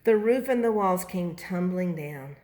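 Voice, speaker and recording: An older woman reads a children's story aloud with expression. Her voice sits a little low, and she takes her time, narrating at a very unhurried pace. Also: expressive, warm tone. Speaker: grandmother reading storybooks aloud.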